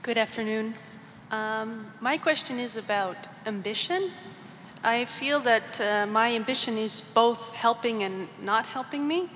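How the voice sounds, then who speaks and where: A young woman student reading a prepared question in measured, polite English. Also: fairly high pitch, fairly bright tone. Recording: long gymnasium reverb, faint hiss.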